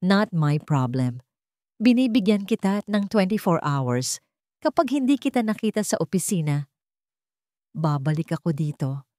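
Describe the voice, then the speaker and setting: A woman's voice: fairly low pitch, sing-song, unhurried, long pauses, warm tone, no room sound, clean studio sound. Woman acting a cold, threatening fiancée. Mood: cold, commanding. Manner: commanding, taunting.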